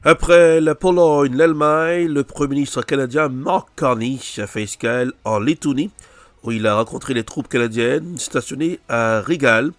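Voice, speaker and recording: A man's voice: medium pitch, normal speed, dry recording. A man presenting a news bulletin, introducing reports with some hesitations and self-corrections. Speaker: news anchor.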